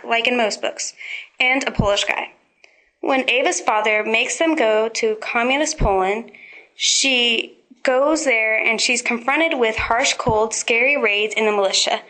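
A woman's voice: fairly high pitch, pushed and bright, poor audio. A teenage girl giving an informal prepared book review for a podcast. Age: teens.

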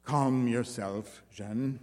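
A man's voice: fairly high pitch, sing-song, moderate pace, husky. A man voicing a sympathetic male circus performer who speaks earnestly and soothingly. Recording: long reverb.

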